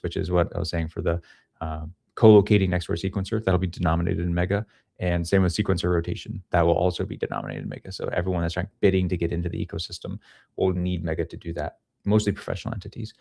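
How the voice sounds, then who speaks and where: Very deep, creaky-voiced man explaining casually. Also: a little mellow, dry recording, studio quality. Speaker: guest, head of ecosystem at a crypto project. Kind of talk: casual explanatory interview talk. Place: small home room with studio gear, indoors. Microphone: podcast microphone on a boom arm.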